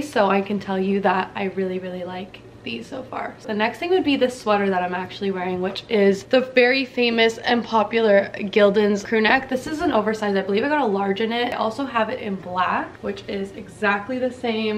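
A woman's voice: mid-range voice, a little fast, few pauses. Young woman, bubbly and slangy, casual product chat, small room, faint hum behind. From Canada. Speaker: beauty vlogger. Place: small dim room indoors.

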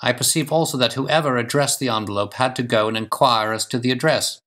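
A man playing a brisk, analytical gentleman detective in an audiobook, speaking formally with precise, clipped delivery. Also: mid-range voice, steady tone, voice pushed up, close to an everyday voice. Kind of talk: audiobook character dialogue.

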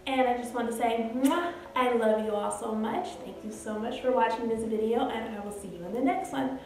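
A woman's voice: on the high side, a little fast, a little mellow. A woman in her thirties telling personal stories casually to camera, in a chatty, rambling way. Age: 30s. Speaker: vlogger. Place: bare-walled room indoors. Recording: very echoey room, music behind. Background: background music.

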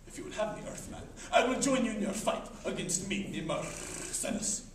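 Man playing a gruff lion-man prince, echoey room. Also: high-pitched, animated, normal speed. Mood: solemn. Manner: solemn, archaic, theatrical. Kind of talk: spoof 1930s radio serial acting. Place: classroom, indoors. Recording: echoey classroom, distant noisy camera.

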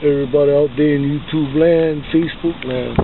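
A man's voice: on the high side, steady tone, a little fast. A man with a Hawaiian local accent, chatting casually as he shows places around him from inside a car. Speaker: vlogger. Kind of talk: casual chat while touring by car. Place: inside a car outdoors by the shore. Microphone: handheld camera in the car. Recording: tinny, band-limited phone video with loud car noise.

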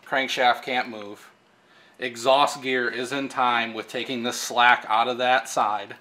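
A man's voice: medium pitch, steady tone, slow, sharp tone. A man in his thirties casually explaining engine timing while working in a workshop, with lots of fillers. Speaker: engine shop technician. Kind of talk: hands-on how-to demonstration. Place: engine workshop with pegboard wall, indoors.

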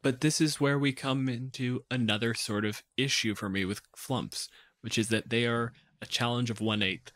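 A man's voice: mid-range voice, fairly even intonation, normal speed, a bit bright, no echo, clean studio sound. A man in casual, analytical podcast discussion of game rules, speaking emphatically and articulately. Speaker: podcast panelist.

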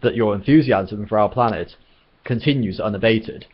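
A man narrating puts on an old storybook announcer, courteous and formal with quiet menace under every phrase. The voice is low and somewhat husky, on a slightly dull recording. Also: a little fast. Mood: cold menace. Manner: formal, polite, coldly menacing.

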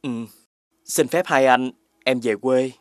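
An actor playing a kind, humble young farmer prince in an animated fairy tale, earnest and respectful. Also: male voice, mid-range voice, takes it slowly, very noisy, close to an everyday voice. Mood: meek. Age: young adult.

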